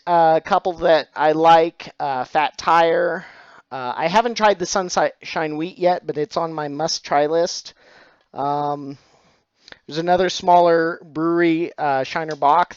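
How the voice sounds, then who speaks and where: A man's voice: a little high, clear, resonant tone, dry recording, muffled recording. A middle-aged man, chatting casually on a live stream about his craft, full of dad jokes and thanks to followers. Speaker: stained glass streamer.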